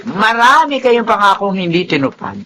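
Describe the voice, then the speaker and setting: An actor playing a feisty old grandparent, scolding yet doting, in a Filipino drama. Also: male voice, sits high, very animated, normal speed, sharp tone, a little hiss or hum, full character voice. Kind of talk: Filipino radio drama acting.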